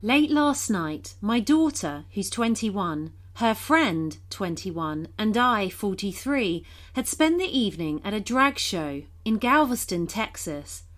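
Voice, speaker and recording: A woman's voice: mid-range voice, sing-song, takes it slowly, hardly any pauses. A woman reading a scripted first-person horror story as a narrator. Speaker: reader of listener-submitted horror stories.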